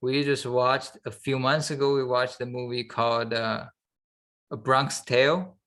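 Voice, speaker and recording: A man co-hosting a podcast in casual, unscripted chat, halting as he thinks aloud. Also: mid-range voice, little pitch movement, fairly bright tone. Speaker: podcast co-host.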